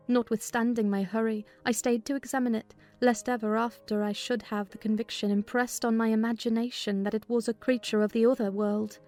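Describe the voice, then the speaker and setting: A woman narrator reading a classic novel as a dramatized audiobook, in an expressive literary manner. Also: fairly high pitch. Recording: music behind the voice.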